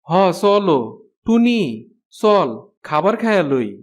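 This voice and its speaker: High-voiced ailing Bangladeshi village father. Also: animated, takes it slowly. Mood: fond. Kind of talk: Bengali fairy tale cartoon dubbing.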